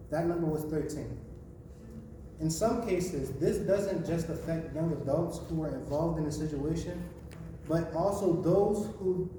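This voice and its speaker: Raspy young man reading from afar. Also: a little high. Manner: halting, restarts misread phrases. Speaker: student presenter. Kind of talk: reading a prepared speech. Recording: echoey room, voice from far off, noisy.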